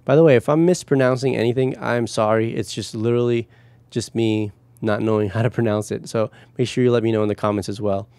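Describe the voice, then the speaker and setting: A man in his thirties from Guatemala, a music producer reacting on a casual vlog from his home studio, talking into a close boom mic with effusive enthusiasm. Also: steady tone, fast, darkish tone, clean tone. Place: small home studio room. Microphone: headphones, close mic on boom arm.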